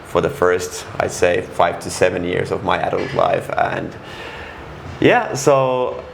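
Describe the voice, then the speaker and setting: A middle-aged man from Finland talks in a Finnish accent as a guest on an interview podcast. His voice sits very low and has a gravelly fry. He speaks frankly and haltingly, with many restarts. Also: a little hiss or hum. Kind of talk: casual interview.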